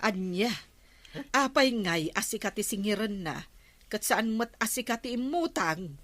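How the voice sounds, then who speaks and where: An actress with an Ilocano accent playing a nagging, sharp-tongued village woman in a radio comedy drama. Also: medium pitch, lively intonation, measured pace. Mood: scolding.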